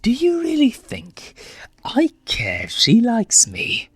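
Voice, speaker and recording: A man's voice: extremely high pitch, huge pitch swings, slow pace, slightly rough, no room sound, faint music behind, pitched above the performer's own voice, heavily acted. A man voicing an irritable, lazy young lion with a bitter, sneering older-brother manner in a story reading. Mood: bitter, defensive. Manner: sneering, snappish.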